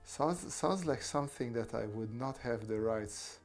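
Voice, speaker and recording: A man's voice: mid-range voice, expressive, measured pace, soft background music. A man hosting a casual art livestream, chatting with viewers and talking them through drawing steps. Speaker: artist streamer answering chat.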